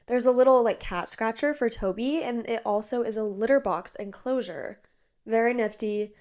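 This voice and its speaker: A young woman in her twenties hosting a podcast from her bedroom, chatting casually, a bit nasal and congested, tired but bubbly, with lots of fillers. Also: on the high side. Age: 20s. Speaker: podcast host. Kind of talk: casual chat. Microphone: iPad on a tripod.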